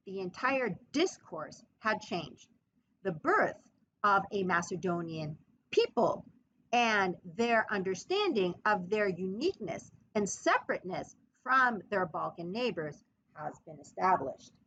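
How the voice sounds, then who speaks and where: Woman history lecturer, animated and emphatic, slightly high voice, muffled lo-fi sound. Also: measured pace. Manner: emphatic, expressive storytelling with dramatized quotes. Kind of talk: podcast lecture. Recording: muffled lo-fi recording.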